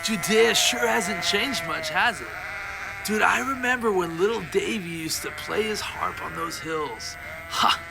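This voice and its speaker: A man playing a laid-back, youthful surfer-dude angel with a slangy, drawn-out delivery in a family audio drama. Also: sits high, vocal fry, music in the background, low-quality recording. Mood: casual, incredulous.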